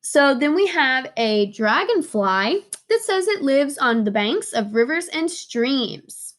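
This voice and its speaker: High-voiced woman teaching young children, unhurried. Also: big pitch swings. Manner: simple explanatory phrasing with emphatic repeated words. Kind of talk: read-aloud lesson for young children, explaining a picture book.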